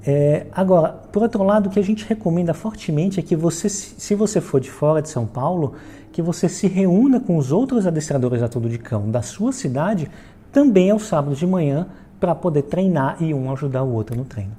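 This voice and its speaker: A man's voice: fairly high pitch, lively intonation, brisk, dark tone, a little hiss or hum. A Brazilian man from Salvador giving an explanatory question-and-answer talk as a franchise founder, in a didactic way with frequent check-in tags. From Salvador, Bahia, Brazil.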